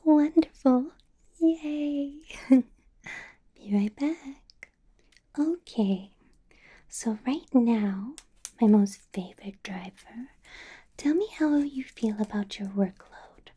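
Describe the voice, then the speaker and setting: A woman's voice: high voice, slow pace, long pauses, heavily acted. Woman playing a whispering, possessive vampire boss. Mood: sweetly bossy. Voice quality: breathy, soft whisper. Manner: coaxing, condescending, controlling sweet talk. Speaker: ASMR roleplay performer. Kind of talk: ASMR roleplay, close whispered personal attention.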